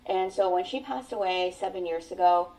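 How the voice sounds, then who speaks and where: Earnest woman, low mellow distant voice. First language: Mandarin. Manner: earnest, reflective, drawn-out phrasing. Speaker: podcast guest. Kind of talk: personal storytelling in an interview. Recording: voice sounds distant from the mic.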